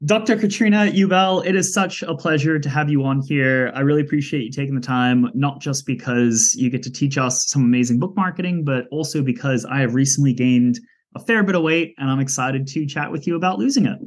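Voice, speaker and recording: A man hosting a podcast interview, introducing his guest in an upbeat way with a few halting restarts. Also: medium pitch. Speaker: podcast host.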